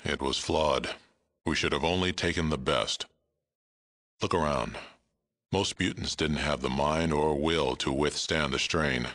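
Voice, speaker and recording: An actor playing a huge, world-weary super mutant town leader in a video game, with a gravelly booming voice and a measured, blunt, stern delivery. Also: male voice, sharp tone, full character voice. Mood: stern, reflective. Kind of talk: video game character dialogue.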